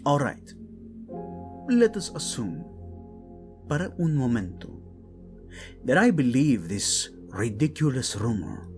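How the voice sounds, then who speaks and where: A man's voice: mid-range voice, sing-song, takes it slowly, pauses often, soft background music. A man playing a haughty, brooding Spanish-accented prince. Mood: grave, skeptical. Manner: haughty, curt, sardonic. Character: brooding, proud young prince, defensive about his dead brother. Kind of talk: dramatic romantic audio drama acting.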